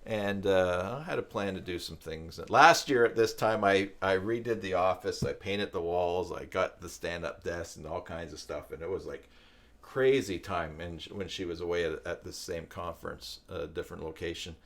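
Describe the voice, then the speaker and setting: Older man, low-pitched, chatting casually. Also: a bit bright. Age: older, with grandchildren. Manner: rambling, reflective, hesitant pauses. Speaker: live stream host. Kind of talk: casual live stream chat.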